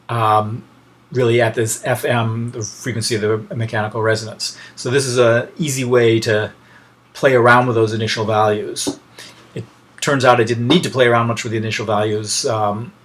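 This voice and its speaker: A man's voice: medium pitch, a bit bright. A man giving an informal technical tutorial as a lecturer, hesitating and thinking aloud mid-sentence as he explains.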